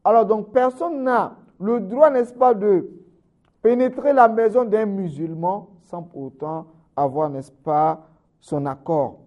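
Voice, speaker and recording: A man preaching a sermon in French, constantly adding "n'est-ce pas" and swinging between raised, emphatic phrases and low, trailing endings. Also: slow pace, highs cut off. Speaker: Islamic preacher.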